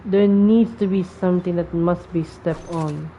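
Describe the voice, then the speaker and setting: A woman's voice: on the low side, fairly even intonation, a little slow, dark tone, slight background noise. A woman giving casual gameplay commentary, thinking aloud and often repeating words and phrases. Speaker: gaming streamer. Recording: dull lo-fi mic over game music. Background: faint game music.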